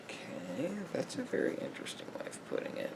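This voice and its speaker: A man speaking softly as a therapist in an ASMR roleplay, in a measured, halting, explanatory way. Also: slight background noise.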